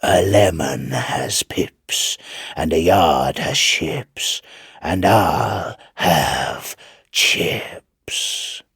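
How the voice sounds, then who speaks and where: A man voicing a sly, menacing devil in a storybook reading, breathy and whispery, with slow, drawn-out, sinister delivery. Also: extremely low pitch, fairly bright tone, no room sound, studio quality, pitched below the performer's own voice, exaggerated voice. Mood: ominous chanting. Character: sly, menacing male devil chanting rhymes.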